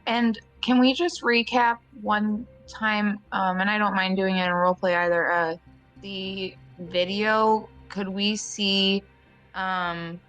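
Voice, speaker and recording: A woman's voice: medium pitch, fairly even intonation, slow pace, a bit bright, faint music behind. A woman playing in a tabletop roleplaying game, asking careful clarifying questions with some hesitation. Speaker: player. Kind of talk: casual chat at a game table.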